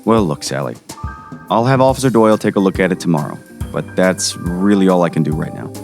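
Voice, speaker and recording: A man's voice: sits low, expressive, brisk, soft background music. A man playing a weary small-town sheriff in an audio drama, folksy, patronizing and dismissive. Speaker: voice actor.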